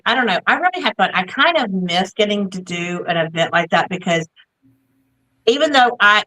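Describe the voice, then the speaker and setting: A woman's voice: medium pitch, bright, forceful tone, poor audio. A woman with a Southern US accent chatting casually as a livestream co-host, rambling and saying y'all. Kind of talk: casual chat. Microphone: video call.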